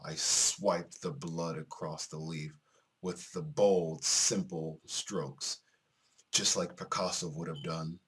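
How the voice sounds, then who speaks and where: Man voicing a slow, low-pitched sadistic killer. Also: steady tone, fairly bright tone, breathy edge, heavily acted. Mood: cold relish. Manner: slow, deliberate, menacing narration. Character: cold, sadistic male killer savoring his murder. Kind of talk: dramatic reading of visual novel narration.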